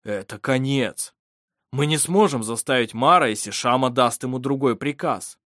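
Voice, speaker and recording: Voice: mid-range voice, normal speed, fairly bright tone, dry recording, close to an everyday voice. Casting: sober male comrade for fantasy audiobook, losing hope, dry sound one reader performing. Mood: despairing. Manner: groaning, sober.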